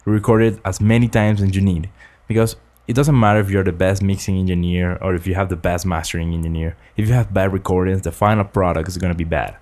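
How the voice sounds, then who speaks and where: A young man from Ecuador, earnestly explaining vocal recording tips in slightly halting English, close on a studio condenser mic in a small home studio. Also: sits low, fairly even intonation, darkish tone. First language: Spanish. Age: young adult. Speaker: student making a tutorial. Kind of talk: casual spoken tutorial. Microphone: large-diaphragm condenser with pop filter, close.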